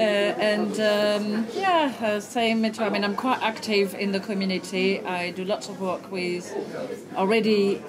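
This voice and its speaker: Hesitant French-born woman over crowd noise. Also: medium pitch. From France. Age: 30s. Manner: hesitant, many fillers. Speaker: guest, community worker. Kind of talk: casual chat. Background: crowd chatter.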